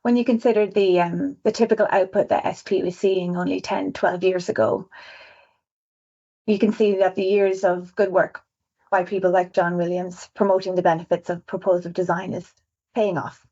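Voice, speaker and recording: A woman with an Irish accent, a visual designer presenting survey results on a webinar in a quick, chatty way. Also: lowish voice, level tone, warm tone. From Ireland. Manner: fast-talking, chatty, self-aware. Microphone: video call. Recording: dull lo-fi webinar audio.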